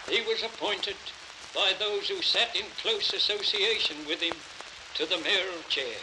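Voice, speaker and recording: A man giving a formal, solemn toast in a deliberate oratorical manner, with measured pauses, as at a ceremonial lodge dinner. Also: high-pitched. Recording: noisy crackling mid-century 78rpm acetate record.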